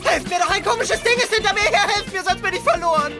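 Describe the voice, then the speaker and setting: Cartoon audio drama, nervous schoolboy: frantic, whining, high voice over loud music. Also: quick pace. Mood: panicked. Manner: whiny, frantic. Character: anxious whiny schoolboy, easily panicked.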